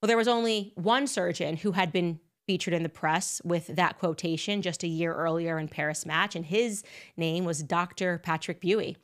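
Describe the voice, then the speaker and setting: A woman with a slightly low-pitched voice delivers a conversational monologue. She leans on key words for emphasis and keeps dropping in asides and fillers as she goes. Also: fairly even intonation, moderate pace. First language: English. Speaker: host. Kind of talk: casual monologue commentary.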